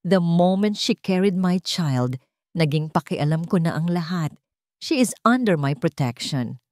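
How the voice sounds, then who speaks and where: A woman narrator acts out a wealthy man in a romance audio drama. He is icy, controlled and commanding. His words come out clipped and dangerously calm, in a very dry recording. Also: fairly low pitch, a little slow, no echo. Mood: cold command. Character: cold, commanding wealthy man, protective and suspicious.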